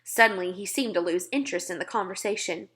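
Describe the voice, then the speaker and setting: A woman reading a children's storybook aloud in an expressive narrating style. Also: medium pitch, fast. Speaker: storybook reader.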